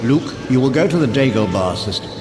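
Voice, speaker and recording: A man's voice: medium pitch, animated, a little fast, lightly acted. Echoing, ghostly old mentor played by a man. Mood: solemn, urging. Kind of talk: storybook read-along character acting. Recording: ghostly hall echo, music behind.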